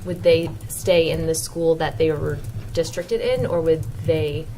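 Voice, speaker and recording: Low, apologetic woman asking questions. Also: fairly even intonation, measured pace, mellow, a little hiss or hum. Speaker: committee member.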